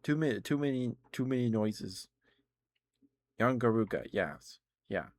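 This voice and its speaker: A man's voice: medium pitch, animated, a little slow, darkish tone, no room sound, studio quality. A man live streaming casual, rambling ASMR chat about games, often hesitating and correcting himself.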